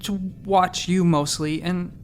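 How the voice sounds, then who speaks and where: An earnest, wry doctor in a sci-fi comedy radio play. His voice sits fairly high for a man and has a creaky edge. He is sarcastic and halting, easily flustered, over a faint rumble of noise. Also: steady tone. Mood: dry, pointed. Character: earnest, wry young doctor sent to watch his superior. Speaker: doctor, chief of surgery. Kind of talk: sci-fi comedy audio drama acting.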